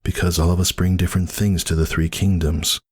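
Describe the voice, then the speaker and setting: A man's voice: deep voice, level tone, a little fast, a little mellow, husky, pitched above the performer's own voice. A man voicing a weary but defiant pegasus mother, speaking earnestly in a dramatic story reading. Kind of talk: dramatic fan fiction reading.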